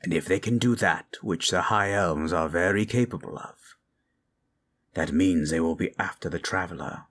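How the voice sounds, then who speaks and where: A man's voice: sits low, moderate pace, a bit bright. A man playing a grave, ancient lich who speaks in solemn, formal phrases in a fantasy audio roleplay. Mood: grave, intent. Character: grave ancient male lich, stern and scheming mentor.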